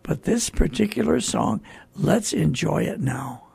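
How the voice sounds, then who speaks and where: Older man with a gravelly, halting voice. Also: high-pitched, sing-song. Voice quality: gravelly, husky. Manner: halting, earnest, repetitive. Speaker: radio program host. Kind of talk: informal hosting, introducing songs.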